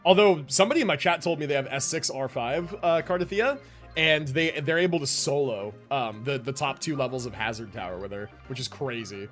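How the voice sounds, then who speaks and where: A man's voice: medium pitch, animated, fairly quick, a bit bright, soft background music. A man casually commentating a live game stream, chatting with viewers in rambling, informal gamer slang.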